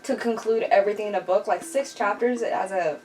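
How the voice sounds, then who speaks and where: A woman's voice: medium pitch, a little fast, darkish tone, rough recording. A woman hosting a book review video, chatting casually and informally to her viewers as friends. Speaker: host of a book review channel.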